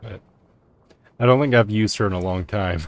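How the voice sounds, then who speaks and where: A man giving casual gameplay commentary, low-key and hesitant, thinking out loud as he plays. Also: normal speed, dry recording. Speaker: gaming streamer. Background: faint game music.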